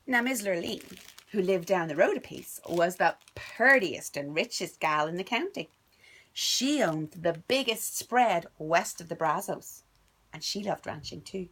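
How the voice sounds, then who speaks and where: A woman's voice: fairly low pitch, expressive, measured pace, fairly bright tone, slightly rough. A woman reading a picture book aloud to her little son at home, playful and sing-song. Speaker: parent reading to a child.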